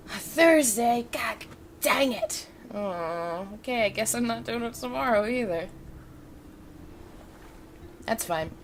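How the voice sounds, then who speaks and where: Female streamer, lowish voice, unhurried, stuffed with a cold, informal chat over noisy ambience. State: has a cold. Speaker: live streamer. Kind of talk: casual chat to stream viewers. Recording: clearly noisy background ambience.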